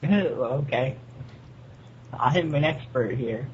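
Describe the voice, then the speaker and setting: A man's voice: medium pitch, very slow. A young man talking casually as a co-host of a group podcast. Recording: dull lo-fi voice chat, faint noise.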